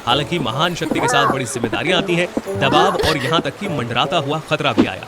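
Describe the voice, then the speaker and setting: High-pitched, lively male storyteller over loud music. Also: fairly quick. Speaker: narrator. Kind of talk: scripted fairy tale storytelling. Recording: loud music bed under the voice.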